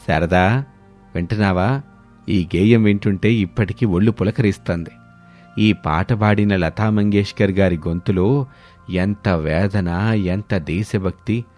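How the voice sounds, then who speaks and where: A man's voice: fairly low pitch, unhurried, a little mellow, soft background music, close to an everyday voice. A man voicing a proud, sentimental elderly father-in-law in a storybook family drama. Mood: moved, reverent.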